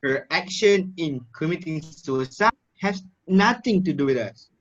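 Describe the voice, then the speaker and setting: Blunt, dismissive student played by a man, raspy, unhurried, dull lo-fi recording of amateur drama. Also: a little high, animated, fairly bright tone.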